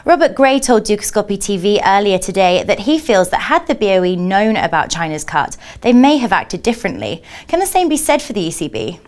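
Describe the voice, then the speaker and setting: A woman's voice: mid-range voice, sing-song, brisk, few pauses, a little mellow. Woman TV news interviewer. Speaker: TV journalist interviewing by phone. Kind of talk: scripted broadcast news interview.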